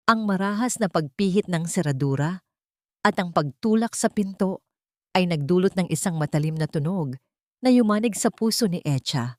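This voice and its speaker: A Filipino woman narrates a dramatic late-night story in a fairly low voice. The sound is close and dry, with a scripted storytelling delivery. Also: a little mellow, no room sound.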